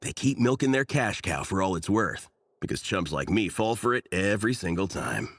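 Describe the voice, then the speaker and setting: A man's voice: sits low, very animated, moderate pace, fairly bright tone, exaggerated voice. An actor voicing an earnest, nerdy young man in a video game, talking at length with enthusiasm and a self-deprecating streak. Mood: rueful. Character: earnest nerdy young man, devoted mecha comic collector. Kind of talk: English video game voice acting.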